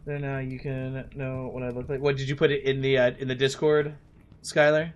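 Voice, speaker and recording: A man's voice: mid-range voice, flat delivery, moderate pace, dull audio. A man in his late thirties chatting casually and in a friendly way with viewers while he plays a game on a livestream, often thinking aloud. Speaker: live streamer playing a game.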